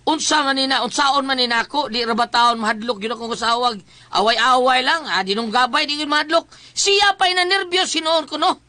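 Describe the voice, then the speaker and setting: A woman co-host with a Cebuano accent, speaking in a high, sharp, forward voice. She handles both chat and sponsor reads, and the sound is dry and close. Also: fairly quick, no echo. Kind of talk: casual chat and advert reading.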